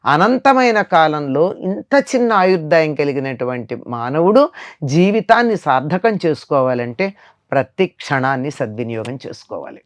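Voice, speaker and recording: A woman's voice: low-pitched, animated, normal speed. An older woman giving a moral discourse in conversation as a spiritual teacher, emphatic and repeating phrases to drive points home.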